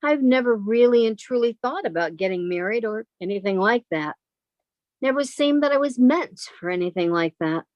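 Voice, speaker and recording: A woman's voice: a little high, lively intonation, normal speed, dry recording, dull audio, natural voice. Plaintive woman acting a timid, sheltered spinster. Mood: hopeful, unsure. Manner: plaintive, hesitant, questioning. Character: sheltered, timid, wistful unmarried woman of thirty-five. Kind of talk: old-time radio drama acting.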